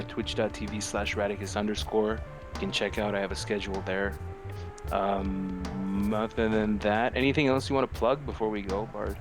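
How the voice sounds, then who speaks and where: A man co-hosting a podcast in casual banter, telling stories with slang, swearing and a ready laugh. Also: fairly low pitch. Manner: laughing, animated storytelling, heavy slang and swearing. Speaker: podcast co-host.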